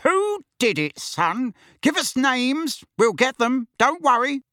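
A man voicing a blustering, angry middle-aged father in a storybook reading, loud and raised high. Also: big pitch swings, takes it slowly, no room sound, studio quality, full character voice.

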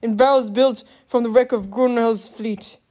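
A woman's voice: a little high, fairly even intonation, warm tone, lightly acted. A woman voicing a proud, boastful male warrior god in a stately, grandiose storybook manner. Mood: grand. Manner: stately, grandiose, drawn-out delivery.

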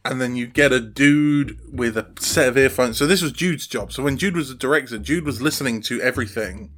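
A man with a medium-pitched voice talks casually as an interview guest about his creative work. He feels his way through sentences in a halting fashion, as if thinking aloud. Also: fairly bright tone, slight background noise.

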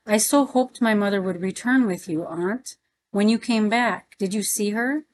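A woman reading the part of a gentle, wistful, eager young nephew in a storybook, with an earnest manner. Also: mid-range voice, moderate pace, lightly acted. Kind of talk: storybook read-aloud.

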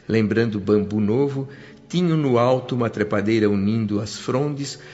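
Brazilian male radio-drama narrator: earnest newcomer, measured, formal, reverent; dull recording. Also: medium pitch. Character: André, earnest grateful newcomer man telling his story. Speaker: narrator and protagonist. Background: music.

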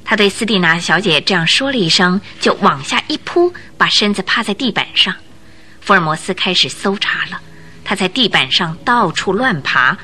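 A woman giving expressive, suspenseful scripted narration for a detective radio drama. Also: mid-range voice, a little hiss or hum.

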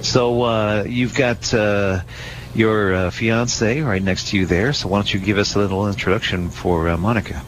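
A man with a fairly low voice hosts a casual radio talk show. He is chatty and jokey, speaking live on location with faint noise behind him. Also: steady tone, moderate pace.

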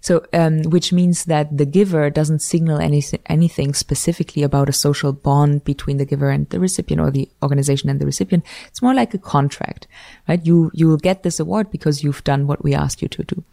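A woman's voice: deep voice, steady tone, fairly quick, vocal fry, warm tone, dry recording. A woman, a guest researcher explaining ideas thoughtfully in an interview and often correcting herself mid-sentence. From Switzerland. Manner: thoughtful, academic, frequent self-corrections. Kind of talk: conversational interview.

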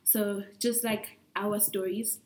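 A woman's voice: medium pitch, level tone, slow, darkish tone, poor audio. A young woman from Congo, a podcast guest, chatting casually and laughing often. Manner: giggly, easygoing, laughs often. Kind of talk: casual chat.

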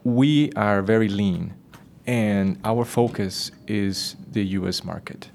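A man working in business development speaks earnestly in an interview, giving advice about growing a team. His voice is low and steady. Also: takes it slowly, vocal fry. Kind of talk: casual interview talk.

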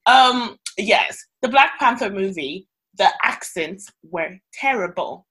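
Chatty woman talking w friends, animated, cusses a lot, laughs easy. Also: mid-range voice, slow, rough recording. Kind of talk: casual chat among friends.

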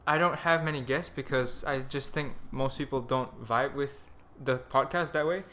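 A man's voice: medium pitch, normal speed, pushed and bright, a little hiss or hum. A young man with a Malaysian accent hosting a podcast in a rambling, crude, self-mocking casual chat. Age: young adult. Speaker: podcast host.

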